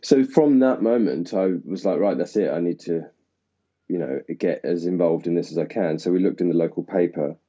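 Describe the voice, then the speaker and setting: A man from Essex, an actor, talking at length and laughing at himself in a relaxed interview. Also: deep voice, moderate pace. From England (Essex). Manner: rambling, self-deprecating, laughs often. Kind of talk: casual interview conversation. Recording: dull lo-fi sound.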